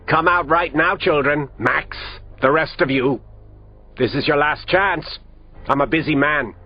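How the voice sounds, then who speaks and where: Brief: a menacing male doctor, calm and commanding, slightly husky, dull audio. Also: on the high side, a little slow. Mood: cold menace. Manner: calm, authoritative, threatening. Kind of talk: audiobook character reading. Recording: lo-fi audio with faint music.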